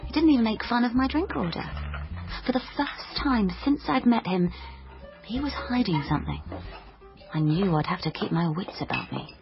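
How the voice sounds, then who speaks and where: A woman's voice: mid-range voice, animated, normal speed, gravelly, muffled recording, over music. An actress playing a headstrong young female amateur detective in a comic radio drama, melodramatic, emphatic and wry. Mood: wry narration. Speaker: lead character and narrator.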